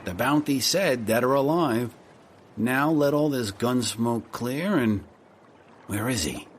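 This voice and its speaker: A man's voice: medium pitch, takes it slowly, background noise, lightly acted. An actor playing a cold, commanding, trigger-happy lawman in a comedic audio drama.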